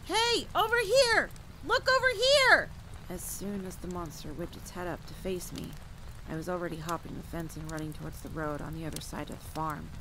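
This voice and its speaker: An actress plays a grieving woman hunter with a lowish voice that breaks into gravelly creak. She recounts her past in a somber, deliberate and expressive campfire style for an audio roleplay. Rain and night ambience sit clearly behind the voice. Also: noisy background, lightly acted. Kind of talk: dramatic campfire storytelling, ASMR roleplay.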